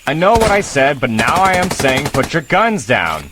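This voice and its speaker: An actor playing a proud, defiant leader of rebel fighters in an audio drama, stubborn and intense. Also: male voice, on the high side, sing-song, moderate pace, background noise. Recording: loud dramatic music underneath.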